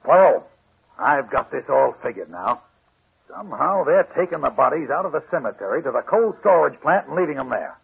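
An actor playing a gruff, skeptical police inspector with a blunt, sardonic, slangy delivery in a 1940s radio drama. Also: male voice, medium pitch, sing-song, fairly quick, full character voice. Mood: skeptical, determined. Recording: muffled, scratchy 1940s radio recording.

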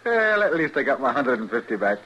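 A man's voice: mid-range voice, sing-song, brisk, bright, forceful tone. A man playing a sly, wry frontier storekeeper, chatty and folksy, in an old-time radio western. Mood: sly, pleased. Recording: muffled, hissy old radio broadcast.

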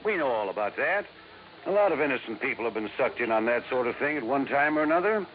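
A man's voice: medium pitch, animated, quick pace, lightly acted. An actor playing an assured, folksy male federal agent and old friend in a 1950s radio drama, casually emphatic. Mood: explaining. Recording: narrow, noisy 1950s radio recording.

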